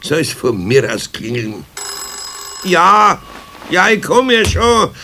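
An actor playing an ailing, grumbling but good-natured older Bavarian craftsman in a children's radio play, sighing and halting. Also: fairly high pitch, big pitch swings, pushed and bright, slight background noise, exaggerated voice. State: ill, weary.

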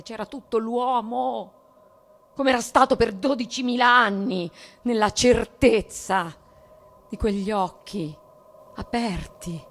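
An actress playing a submissive, nostalgic young bourgeois wife in a literary radio drama, speaking deliberately. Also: female voice, medium pitch. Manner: slow, deliberate, at times whispered. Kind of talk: dramatic stage reading for radio, literary monologue.

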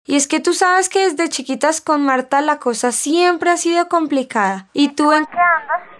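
A woman plays a dramatic young friend in a radio soap. She is chatty and whiny, with a high-pitched voice. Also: moderate pace, a bit bright. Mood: exasperated.

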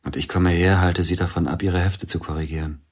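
A man playing a grieving widowed concert pianist in a radio play, speaking in a subdued, husky voice. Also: sits low, fairly even intonation, brisk. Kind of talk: radio drama acting.

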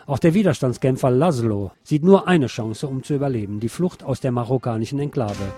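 A man's voice: mid-range voice, a little fast, dark tone, slightly rough, no room sound. A man narrating a scripted documentary.

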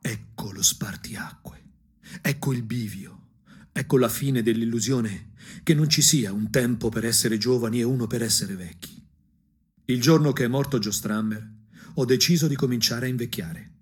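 A man from provincial Tuscany narrating a theatrical radio monologue, husky, dramatic and ironic, dropping into whispers. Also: mid-range voice, normal speed, darkish tone. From provincial Tuscany, Italy. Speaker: narrator and actor.

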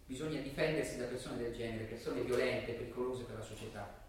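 A man playing a violent, self-pitying armchair terrorist in a dramatic stage monologue, swinging between brooding murmurs and shouted outbursts. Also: medium pitch, brisk, voice pushed down, lightly acted. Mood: self-justifying. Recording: very echoey room, distant mic, faint noise.

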